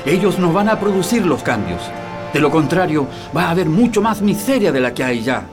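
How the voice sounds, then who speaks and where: Idealistic prisoner, Chilean accent, very varied intonation, radio drama with music underneath. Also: male voice, a little high, big pitch swings, fairly quick. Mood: determined. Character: determined, idealistic male political prisoner.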